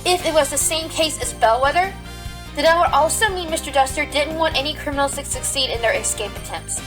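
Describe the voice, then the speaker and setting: A woman's voice: high-pitched, over music, lightly acted. A woman acting a determined young rabbit police officer, arguing assertively in a courtroom audio drama. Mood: insistent. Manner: assertive, deliberate, argumentative.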